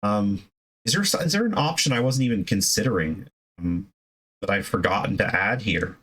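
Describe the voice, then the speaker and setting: A man's voice: fairly low pitch, animated, moderate pace, fairly bright tone, husky, rough recording. A man giving casual, unscripted hobby advice as a video host, in a conversational, emphatic way that rambles into asides.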